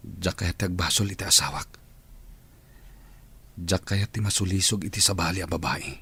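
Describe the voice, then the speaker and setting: A mild husband with an Ilocano accent, wavering under temptation in a radio drama. His voice sits low and husky, and he speaks in a hesitant, earnest way. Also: fairly even intonation, moderate pace, bright, forceful tone, heavily acted. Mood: hesitant, guarded. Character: mild married man, hesitant, torn by temptation. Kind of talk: Filipino radio drama acting.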